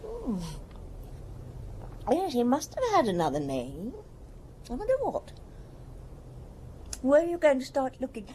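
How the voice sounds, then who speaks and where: An actress playing a bitter, hard-drinking wife in an audio drama, languid, sardonic and evasive. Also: female voice, high voice, wildly swooping pitch, unhurried, long pauses, dark tone, a little hiss or hum, heavily acted. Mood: wistful, evasive. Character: bitter, indifferent hard-drinking wife of missing rich husband.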